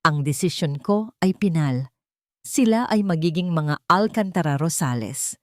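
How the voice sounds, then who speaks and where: Woman playing a calm, cold, resolute widowed heiress. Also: deep voice, measured pace, no room sound, studio quality. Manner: calm, firm, authoritative.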